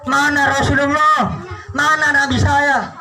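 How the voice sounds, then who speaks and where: A man's voice: very high voice, level tone, measured pace, gravelly, pushed and bright, voice pushed up, exaggerated voice. A man voicing eager, impatient townsfolk with insistent, repeated exclamations in a children's religious story. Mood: impatient longing. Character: eager, impatient townsfolk awaiting their prophet.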